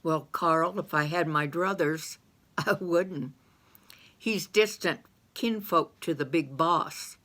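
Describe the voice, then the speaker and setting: Bossy folksy woman, dry and sharp-toned, as a narrator puts her on. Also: low-pitched, slow pace.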